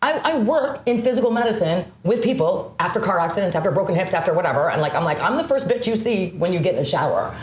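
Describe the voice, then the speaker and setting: A woman's voice: fairly low pitch, expressive, fast talker, few pauses, roomy sound. A young woman co-hosting a podcast as a stand-up comic, talking bluntly and jumping between ideas in casual chat.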